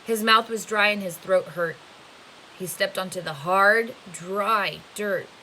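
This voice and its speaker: A woman reading a novel aloud as a teacher would to students, with expressive storytelling narration. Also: mid-range voice, slow, rough recording. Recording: faint hiss behind the voice. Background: faint steady hiss.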